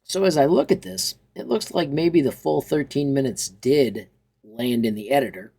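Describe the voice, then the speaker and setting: A man hosting an informal software tutorial in a chatty, enthusiastic way. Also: medium pitch, moderate pace, creaky, slightly rough. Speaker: tutorial host. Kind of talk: informal software walkthrough with upbeat sign-off.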